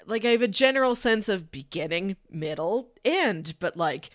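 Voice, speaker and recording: A woman's voice: mid-range voice, expressive, moderate pace, dry recording, studio quality. A woman talking casually and analytically as a podcast co-host discussing a film.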